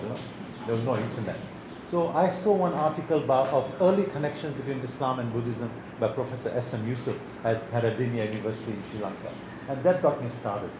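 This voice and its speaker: An older man lectures to a room in a loose, conversational way, often restarting words mid-phrase. His voice sits at a middle pitch. The recording is echoey, with steady noise behind him. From Tanzania. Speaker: guest lecturer. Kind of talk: academic lecture. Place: lecture hall.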